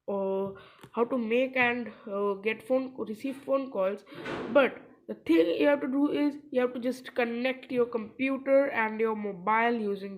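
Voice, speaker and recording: A boy, giving a casual step-by-step tech tutorial in a halting way. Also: extremely high pitch. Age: child, early teens. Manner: halting, searching for words. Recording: distant mic, faint background noise.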